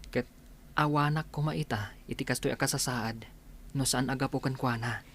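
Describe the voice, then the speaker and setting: A man's voice: mid-range voice, steady tone, moderate pace, fairly bright tone, slight background noise, natural voice. A man with an Ilocano accent playing a humble, grateful young doctor-to-be in a radio drama.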